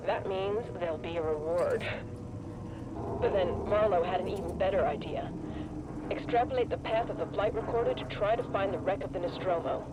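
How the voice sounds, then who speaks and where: High-pitched hopeful salvager played by a man, noisy log. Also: normal speed, close to an everyday voice. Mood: cautiously hopeful. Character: weary but hopeful male salvage ship crew member. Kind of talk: reflective audio log, game acting. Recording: loud ambient noise over log.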